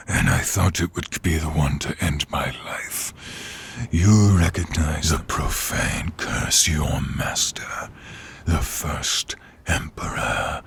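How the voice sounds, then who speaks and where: Menacing tyrant king, extremely low pitch, forceful edge, gravelly half-whisper, theatrical, taunting, fantasy audio drama. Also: slight background noise, full character voice. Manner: slow, theatrical, taunting delivery. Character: menacing peasant-born tyrant king, dark sorcerer, bitter and grandiose. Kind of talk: fantasy audio roleplay acting.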